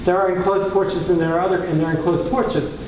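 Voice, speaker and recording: Soft-voiced man in an echoey, distant room. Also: high-pitched, fairly even intonation. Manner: thoughtful, halting, searches for words. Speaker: borough councilor speaking at a caucus meeting. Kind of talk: extended remarks at a public meeting. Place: indoor council meeting room. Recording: echoey room, distant mic, clear background noise.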